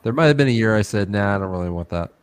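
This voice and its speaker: A man's voice: on the low side, animated, very fast, clean tone. A middle-aged man co-hosting a casual podcast, bantering in a wry, self-mocking way. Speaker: podcast co-host. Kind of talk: casual chat.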